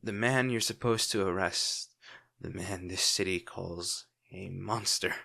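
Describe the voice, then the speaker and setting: A man playing a crime-boss villain in an intimate audio roleplay, secretly tender and worried, speaking sardonically with dramatic pauses. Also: deep voice, vocal fry, pushed and bright, full character voice. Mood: quiet realisation.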